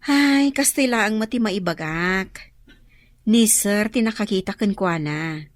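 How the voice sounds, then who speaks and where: A woman's voice: medium pitch, unhurried, no room sound, natural voice. A woman with a Filipino accent playing an inquisitive young woman in an Ilocano radio drama. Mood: teasing, curious.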